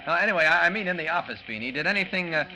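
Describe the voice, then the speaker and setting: An actor playing a mild, polite newspaper reporter, hesitant and puzzled, in a 1940s radio drama. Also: male voice, on the high side, lively intonation, rapid-fire, lightly acted. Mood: inquiring. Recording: noisy old radio recording.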